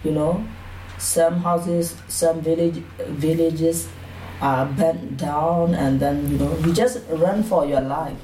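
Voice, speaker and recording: A low, mellow female voice with a Burmese accent, a native Karen speaker. She tells her personal story in an interview, plainly and a little hesitantly, often adding "you know". The recording is roomy and echoey, picked up from a distance. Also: slow pace. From Burma, Karen community. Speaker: interview guest. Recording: roomy echo, distant mic, faint noise.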